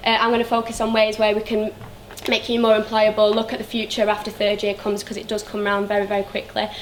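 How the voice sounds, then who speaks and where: A woman's voice: mid-range voice, monotone, fast, a little hiss or hum, poor audio. A young woman giving an upbeat campaign speech as a student union officer. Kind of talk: hustings speech.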